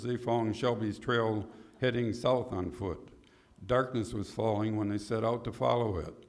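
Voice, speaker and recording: A man narrating a scripted Western in old-time radio drama style. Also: medium pitch.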